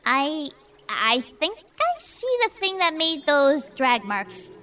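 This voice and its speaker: A woman playing a tabletop role-playing game in character, with an excitable, slangy delivery. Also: sits high, takes it slowly, slight background noise. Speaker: player.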